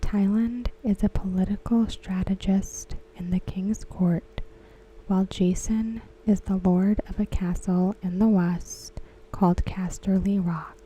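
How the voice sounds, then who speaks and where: A woman whispering softly and without voice, unhurried and very slow. Her tone is deeply mellow and dark, and a faint hiss sits under the recording. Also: low-quality recording. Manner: soft unvoiced whisper, slow and even. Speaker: ASMR creator. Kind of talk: whispered ASMR recap.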